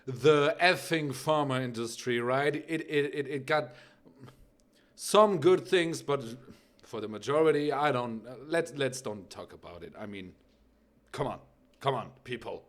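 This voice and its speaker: A man's voice: mid-range voice, animated, a little slow. A young man with a German accent, speaking English as a second language, hosting a casual music reaction video. He rambles with many fillers and keeps asking "right?".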